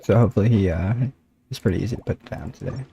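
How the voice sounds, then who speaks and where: A man's voice: lowish voice, fast, vocal fry, warm tone, slight background noise. A man livestreaming a game, chatting casually with viewers in a chummy, slangy way. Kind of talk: casual game stream chat.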